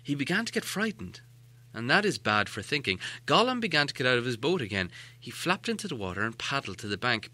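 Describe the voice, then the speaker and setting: A man's voice: fairly low pitch, pushed and bright. A man reading a story as an audiobook narrator, in a dramatic storytelling style.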